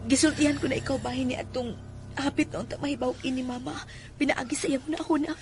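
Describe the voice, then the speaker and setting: A woman's voice: high-pitched, fairly even intonation, fast. A woman acting as a worried young daughter in a horror audio drama, with a Filipino accent and an earnest, confiding manner. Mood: uneasy, confiding. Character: worried young daughter, uneasy about her mother. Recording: music behind the dialogue.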